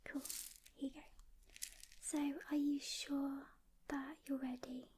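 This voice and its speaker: A woman whispering a soft-spoken ASMR roleplay as a calm, caring demon hunter, gently reassuring a rookie with pauses, close to the mic. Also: high-pitched, slight background noise.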